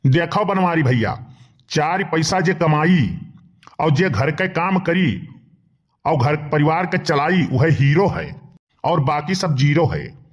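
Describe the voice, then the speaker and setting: Man acting high-voiced Awadhi village joker. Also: lively intonation, slow pace. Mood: casual, matter-of-fact. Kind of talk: comedy cartoon dialogue.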